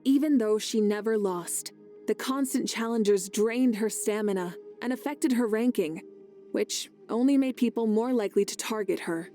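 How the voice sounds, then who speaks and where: A woman voices a protective, thoughtful female leader from a fantasy game, with a soft-edged, mellow tone at a middling pitch. She speaks with measured, earnest composure and deep compassion, over music. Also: lightly acted. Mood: amused, admiring. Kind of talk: scripted game character monologue.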